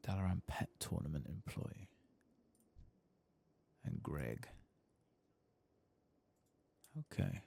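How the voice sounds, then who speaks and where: Deep, creaky, soft-spoken male ASMR gamer. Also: expressive, slow. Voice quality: soft, drifting into whispers. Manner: casual, muttering asides to himself. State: tired, late at night. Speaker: host of a game playthrough. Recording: faint background noise.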